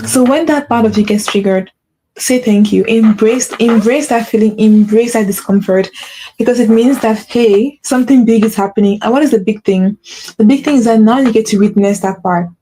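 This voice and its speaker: Earnest woman coaching with gentle warmth. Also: medium pitch, normal speed, slight background noise, rough recording. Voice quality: mentions having a stutter. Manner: earnest, repetitive, with frequent "right?" check-ins and self-corrections. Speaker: podcast host and spiritual coach. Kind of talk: solo motivational talk.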